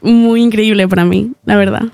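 A woman's voice: mid-range voice, steady tone, moderate pace, creaky, darkish tone, dry recording. A young woman singer from Ecuador, answering a radio interview in a cheerful way, laughing often and using many fillers. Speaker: singer, guest. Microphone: studio microphone.

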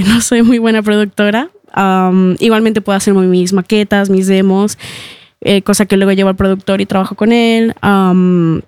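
A young woman from Ecuador, a singer guesting on a radio interview, who laughs often and fills pauses with "eh" and "am". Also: mid-range voice, a little mellow, clean tone, no room sound. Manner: laughs often, speaks with fillers like "eh" and "am". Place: radio studio.

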